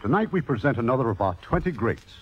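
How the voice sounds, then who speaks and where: Guy hosting 50s radio theater, formal scripted intro, lively intonation, dull old recording w music. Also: mid-range voice, fast talker. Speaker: producer and host.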